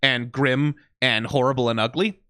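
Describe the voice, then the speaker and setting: A man's voice: medium pitch, fairly even intonation, moderate pace, sharp tone, no room sound, clean studio sound. A man hosting a reaction video, giving rambling, analytical criticism full of "like" and "right?" with a wry, profane edge. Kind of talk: casual critical commentary.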